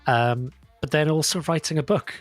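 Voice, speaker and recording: A man's voice: fairly high pitch, moderate pace, soft background music. Upbeat man hosting a live stream. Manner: upbeat, affirming, "absolutely". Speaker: show host. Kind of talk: live-stream interview chat. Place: home studio. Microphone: studio mic on arm.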